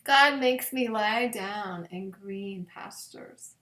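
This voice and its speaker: A woman pastor reading scripture aloud as a children's bedtime story, in measured, deliberate phrases with long pauses. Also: medium pitch, pushed and bright, slight background noise. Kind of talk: gentle read-aloud of scripture picture books. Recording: echoey room.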